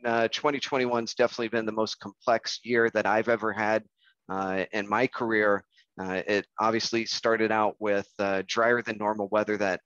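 An American man in his forties with a fairly low voice presents informally to colleagues. He is conversational and self-deprecating, heard over a video call with slightly dull, dry sound. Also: fairly bright tone, no echo. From Kansas City, United States. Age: 40s. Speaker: coffee industry research presenter. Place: indoor room, on a video call. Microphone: video call microphone.

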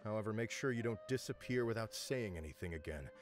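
A man's voice: on the low side, expressive, quick pace, soft background music, lightly acted. A man voicing a stern, formal middle-aged male academic supervisor in a video game. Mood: admonishing.

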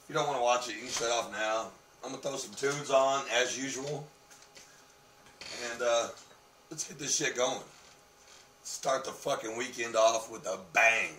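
A man's voice: medium pitch, normal speed, pauses often, slight background noise. A man in his thirties vlogging casually from his living room, brash and swearing, his voice worn from losing it. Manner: brash, swearing, animated gestures. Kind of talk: casual profane vlog. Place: living room in a home, indoors. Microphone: webcam on desk. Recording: roomy webcam sound from a distance.

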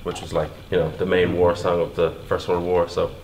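A man's voice: low-pitched, level tone, a little fast, darkish tone, a little hiss or hum. An Irish man, an actor, telling a short story to his cast in a matter-of-fact way. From North Tipperary, Ireland. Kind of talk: casual storytelling.

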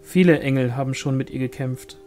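A grave young angel warrior from a fantasy storybook , read by a man. He speaks solemnly and sternly in a mellow, mid-pitched voice. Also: brisk, soft background music, lightly acted. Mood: solemn, assured.

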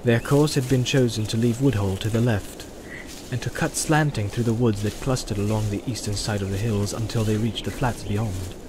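Male audiobook narrator, steady storytelling. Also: on the low side, normal speed, background noise. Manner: even, storytelling delivery. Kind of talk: scripted narration.

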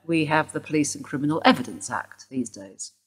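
A brisk, probing policewoman in a radio play. She speaks formally and for the record, with a pitch that moves a lot across her lines. She is wholly devoted to her job. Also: animated, slightly rough. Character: woman detective, brisk and married to the job. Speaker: police detective.

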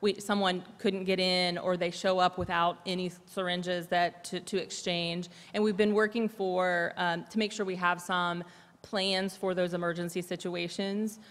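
A woman gives testimony at a council desk microphone, her voice on the lower side for a woman as she explains with many small fillers. The big chamber adds a long echo behind her. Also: level tone, normal speed. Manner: earnest and explanatory, frequent um and uh. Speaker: program representative testifying. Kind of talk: answering council questions. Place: large city council chamber. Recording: long reverb of a large chamber.

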